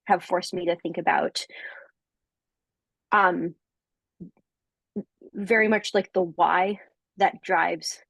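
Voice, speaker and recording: A young woman giving a coaching testimonial in casual conversation, halting and full of fillers and restarts, often laughing. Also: mid-range voice, husky, no echo, low-quality recording.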